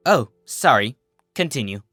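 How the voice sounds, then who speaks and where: A narrator voicing an excitable, teasing young female pony in a storybook reading, with exclamatory, joking delivery. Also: medium pitch, unhurried, breathy edge, no echo, soft background music, exaggerated voice. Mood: apologetic.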